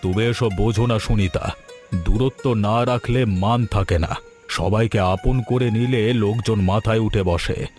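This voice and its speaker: A man's voice: fairly low pitch, a little slow, darkish tone, faint music behind, lightly acted. A man voicing a haughty older rich landlord in a cartoon, pompous and scornful. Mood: dismissive. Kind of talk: scripted cartoon dialogue.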